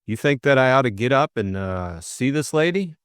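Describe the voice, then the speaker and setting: A man playing a flippant, drowsy young gentleman of leisure in an audiobook, with a breezy, bemused and slightly hesitant manner. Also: medium pitch, animated, moderate pace, clean tone, no room sound, clean studio sound, voice pushed up, natural voice. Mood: drowsy, reluctant. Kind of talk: audiobook character acting.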